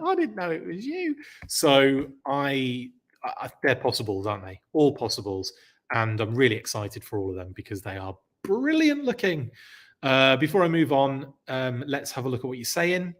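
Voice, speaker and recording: Brief: male host, casual rambling live chat, slightly rough throat. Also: mid-range voice, a bit bright. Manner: chatty, rambling, self-correcting. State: scratchy throat. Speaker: podcast host. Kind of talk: live stream chat reading comments.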